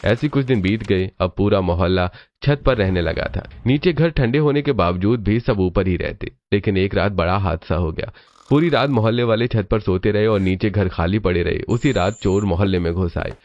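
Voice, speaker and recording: A man's voice: fairly low pitch, normal speed, a little mellow, highs cut off. A man narrating a scripted cartoon story as its storyteller.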